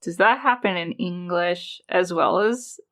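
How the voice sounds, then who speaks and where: A woman host with a slightly low voice poses a question to her guests. She speaks in a measured, even way on a conversational podcast. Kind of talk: interview questions.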